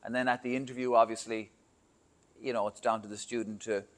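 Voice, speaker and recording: A man's voice: mid-range voice, level tone, fairly quick. An Irish man speaks to students and parents about applying to university. He keeps it conversational, with fillers and asides to the audience. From Ireland. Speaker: university admissions representative. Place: school hall or classroom.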